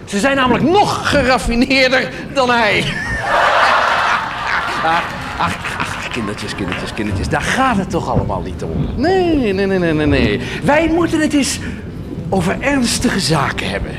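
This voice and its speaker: An actor playing a jovial, wheedling preacher who cajoles peasants like children in a folksy, exclamatory radio drama manner. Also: male voice, high voice, huge pitch swings, measured pace, heavily acted. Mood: cajoling, teasing. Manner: folksy, patronising, exclamatory, repeating words. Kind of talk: theatrical radio drama acting. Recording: loud background noise and outdoor ambience.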